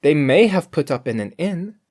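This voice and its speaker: A woman's voice: deep voice, lively intonation, normal speed, darkish tone, pitched above the performer's own voice. A storyteller voicing a cheeky, greedy female cook from a storybook, merry, emphatic and full of excuses for herself. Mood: smug. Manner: emphatic, exclaiming. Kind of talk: storybook character voice.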